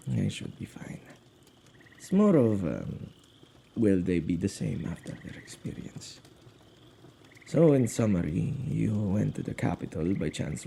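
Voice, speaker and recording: A man plays an anxious male witch and guardian of spirits in an ASMR audio drama. His voice is very dark and mellow with a gravelly crackle, and his delivery is intimate, expressive and halting. There is faint noise behind him. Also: fairly low pitch, heavily acted. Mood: thoughtful.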